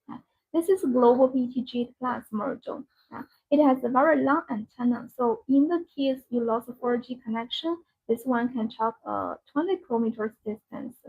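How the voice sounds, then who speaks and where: Woman doing a live sales demo, high husky voice, halting non-native phrasing, kinda muffled audio. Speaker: live-stream sales host demonstrating a product. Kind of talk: unscripted live product demonstration. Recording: dull, band-limited live-stream audio.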